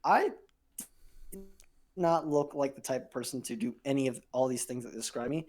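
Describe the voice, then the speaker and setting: A man's voice: mid-range voice, lively intonation, moderate pace, a little mellow. A young man co-hosting a podcast, chatting crudely and casually, rambling, swearing and trailing off mid-sentence. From Filipino heritage. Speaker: podcast co-host.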